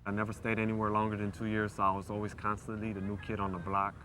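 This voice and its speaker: A man's voice: lowish voice, completely flat delivery, fast, pushed and bright, slight background noise. A young American man of about nineteen speaking candidly about his life in an interview. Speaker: interviewee, foster youth. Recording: music bed under the voice.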